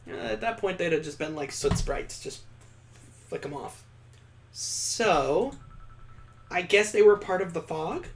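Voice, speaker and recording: A man with a slightly high voice narrates a visual novel for a gaming playthrough. He moves between reading the prose out and tossing in wry, joking asides in a casual way. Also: expressive, a little slow, vocal fry, pauses often, a little hiss or hum. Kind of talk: let's play read-aloud with ad-libbed commentary.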